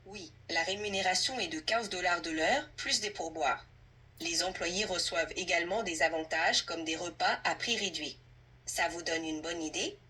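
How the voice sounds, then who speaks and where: A woman's synthetic assistant voice, husky, speaking fluently and politely as a tutor in a conversational role-play. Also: fairly low pitch, moderate pace, sharp tone. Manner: polite, fluent, helpful, orderly. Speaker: AI language tutor playing an employer.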